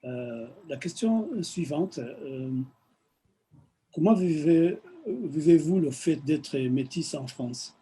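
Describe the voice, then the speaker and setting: A man interviewing a guest, building his questions slowly and haltingly. His voice is very dark and mellow, with faint background noise behind it. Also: on the high side, lively intonation, rough recording.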